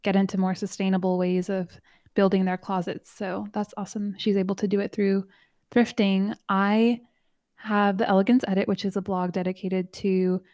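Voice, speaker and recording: A soft-spoken woman talking calmly and evenly as a guest in a casual interview. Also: medium pitch, dry recording, muffled recording. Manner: calm, measured, soothing. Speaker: podcast host and guest.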